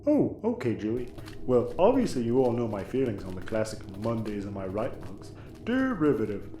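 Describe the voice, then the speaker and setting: An actor playing a naive, earnest oddball crewmate who rambles cluelessly in a sci-fi comedy. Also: male voice, medium pitch, huge pitch swings, normal speed, dark tone. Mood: earnest rambling. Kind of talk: sci-fi audio comedy acting. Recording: roomy echo, faint background noise.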